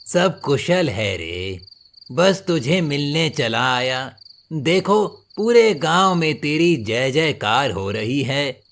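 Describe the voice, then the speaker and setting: A man's voice: fairly high pitch, animated, slow pace, gravelly, a bit bright, exaggerated voice. A man voicing a greedy, scheming village moneylender in a cartoon fable, coaxing with false friendliness. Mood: sweetly coaxing. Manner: sly, coaxing, honeyed. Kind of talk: Hindi moral cartoon story villain.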